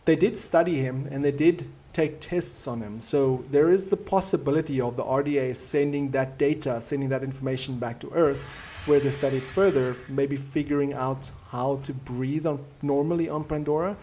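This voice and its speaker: A man with a South African accent hosts a casual live stream, talking through viewer comments at an easy pace. His voice sits at an ordinary middle pitch, and his native Afrikaans shows through. He sounds thoughtful and conversational. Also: moderate pace, few pauses, dark tone. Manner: reflective, easygoing and conversational.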